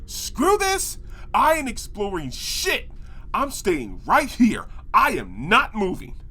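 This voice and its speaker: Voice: medium pitch, wildly swooping pitch, slow, sharp tone, husky, full character voice. An actor voicing a sarcastic, whiny cartoon pony, a reluctant hero who stammers exasperated protests in a comedic audio drama. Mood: panicked indignation.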